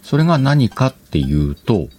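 A man's voice: mid-range voice, big pitch swings, moderate pace, mellow. A man hosting a casual solo talk show, chatting in short, conversational phrases. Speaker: host of an audio talk show. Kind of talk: casual solo radio-style chat.

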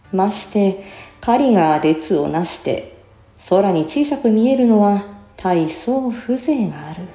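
Female literary reader, mellow timbre, careful diction and measured delivery, roomy sound with faint music underneath. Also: medium pitch. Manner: careful, clearly enunciated, measured. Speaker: reciter and voice actor. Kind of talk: literary recitation of a classical essay.

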